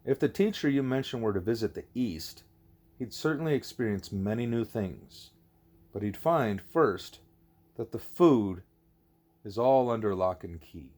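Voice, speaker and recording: A man voicing a wise, patient male teacher in an audiobook, speaking in a deliberate, formal, explanatory way. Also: lowish voice, expressive, darkish tone. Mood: patient, instructive. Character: wise, patient teacher creature Ishmael, male, measured and didactic.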